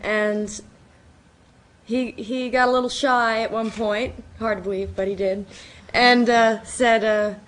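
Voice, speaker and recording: A woman's voice: a little high, fairly even intonation, measured pace. A woman from Chicago giving informal, anecdote-filled answers at a panel discussion. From Chicago, United States. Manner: conversational, quotes people in anecdotes. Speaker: documentary producer. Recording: live event with faint noise.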